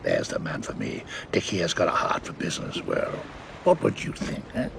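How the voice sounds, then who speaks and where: A menacing pirate ringleader in an audio drama, feigning gentility in old sailor's dialect. The voice is low and full of gravel. Clear background noise runs behind it. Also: male voice, huge pitch swings, moderate pace, heavily acted. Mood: coolly menacing. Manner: old seafaring dialect, wheedling and threatening by turns. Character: cunning, menacing pirate ringleader, falsely genteel.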